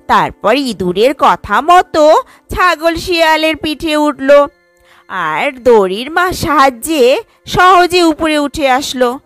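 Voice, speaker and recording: A woman's voice: high voice, expressive, slow, fairly bright tone, dry recording, soft background music. A woman narrating a scripted children's story as storyteller.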